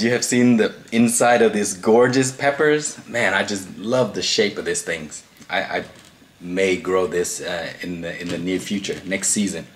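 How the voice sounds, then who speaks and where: A low-pitched man in his thirties chats through a relaxed home vlog, rambling a little and restarting his sentences with plenty of fillers. His voice sits deep, and a faint hum of room ambience stays in the background. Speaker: pepper reviewer, vlog host. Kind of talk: casual chatty vlog review. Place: small indoor room at a table.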